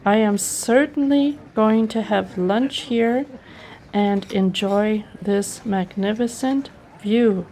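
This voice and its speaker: A woman's voice: mid-range voice, slow, mellow, poor audio. A woman narrating her personal travel vlog in an enthusiastic, appreciative way. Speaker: travel vlogger.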